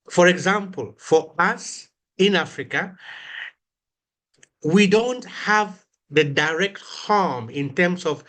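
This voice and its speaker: A man from northern Ghana with a Ghanaian accent, giving a slow, reflective prepared talk at a commemoration event, lifting his voice on key words. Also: a little high, fairly bright tone. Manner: slow, deliberate, with emphatic rises on key words.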